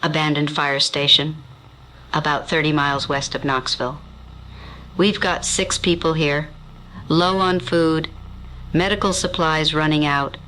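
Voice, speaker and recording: Weary survivor radio operator, male actor, deliberate and measured, sharp tone, apocalypse drama, slight noisy ambience. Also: fairly high pitch. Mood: weary appeal. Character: steady, weary survivor relaying intel by radio. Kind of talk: radio audio drama acting.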